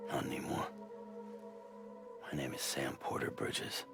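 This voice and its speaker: A guarded, weary loner from a dramatic video game. His voice is extremely deep and full of creak, gruff and curt. Loud music swells behind him. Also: level tone, measured pace, exaggerated voice. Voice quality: gravelly.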